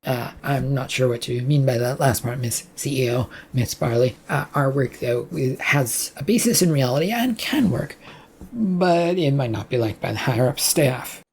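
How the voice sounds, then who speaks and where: A narrator voicing a hesitant, deferential male worker in a story, with a husky voice. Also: mid-range voice, expressive, moderate pace, darkish tone, a little hiss or hum, lightly acted.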